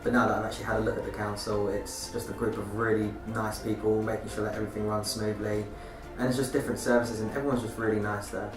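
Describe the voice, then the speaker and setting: A nineteen-year-old man, a youth work apprentice, answering interview questions hesitantly with many pauses. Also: lowish voice, little pitch movement. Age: young adult. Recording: roomy, mic far off, music behind.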